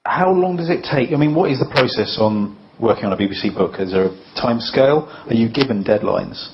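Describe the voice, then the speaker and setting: A man with a medium-pitched voice asks questions casually at a panel. The sound is slightly dull and lo-fi. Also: lively intonation, brisk. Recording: muffled room recording.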